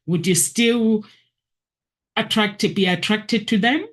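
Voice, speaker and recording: A man with a high-pitched voice leads a conversational discussion. He speaks emphatically, circling back to repeat his key words and phrases. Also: takes it slowly, fairly bright tone.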